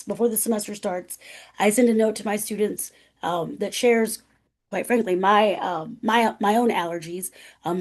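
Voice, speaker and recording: A woman speaking as a guest presenter, a professor with a loud, carrying voice who talks emphatically, often checking in with "right?". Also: mid-range voice. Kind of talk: conversational presentation.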